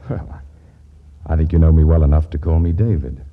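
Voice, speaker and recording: An actor playing a flustered, exasperated young son in a 1940s radio comedy, with a stammering, protesting manner. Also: male voice, very deep voice, brisk. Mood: friendly. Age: young adult. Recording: noisy, muffled 1940s radio recording.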